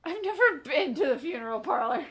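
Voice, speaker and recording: A woman's voice: high-pitched, sing-song, a little fast. A woman giving casual, joking commentary while playing a video game. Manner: joking, voices mock quotes. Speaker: gaming commentator. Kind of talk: casual playful gameplay commentary.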